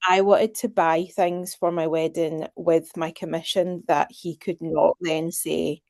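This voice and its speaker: A woman's voice: low voice, flat delivery, measured pace, darkish tone, dry recording. A Scottish woman in her thirties, chatting casually as an interview guest. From Scotland.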